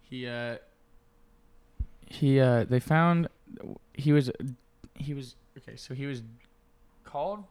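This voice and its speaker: Young dude cohosting a podcast, rambly and sweary, laughs a lot, middle pitch. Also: male voice, slow pace, pauses often, darkish tone, smooth. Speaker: podcast co-host. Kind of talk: casual comedy podcast banter.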